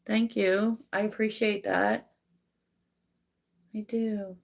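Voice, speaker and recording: A woman hosting a tarot livestream, chatting softly and reflectively with viewers and laughing often. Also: mid-range voice, level tone, takes it slowly, creaky. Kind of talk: casual livestream chat answering viewers.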